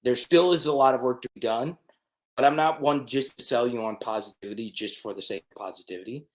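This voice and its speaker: A man's voice: medium pitch, brisk. A man hosting a college football live stream, talking straight to viewers and often checking in with "okay?". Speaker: sports channel host. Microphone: desk mic with changed settings.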